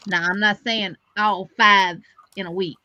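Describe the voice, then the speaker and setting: A woman's voice: mid-range voice, fairly even intonation, unhurried, pushed and bright, slight background noise. A woman co-hosting a live crochet show, talking in a chatty, plainspoken way. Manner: chatty, plainspoken, full of fillers. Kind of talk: casual chat on a livestream.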